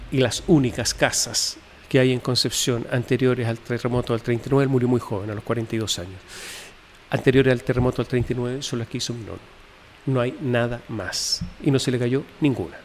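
A man, a guest telling his family history in an interview, pausing often with fillers and restarts. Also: mid-range voice, a little mellow, long reverb tail, a little hiss or hum. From Chile. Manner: hesitant, many fillers and restarts.

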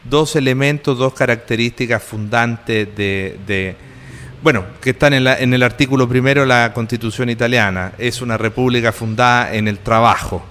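A man's voice: mid-range voice, moderate pace, fairly bright tone, in a large hall. A man hosting an interview, explaining his points at length and building them up as lists. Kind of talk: interview host commentary.

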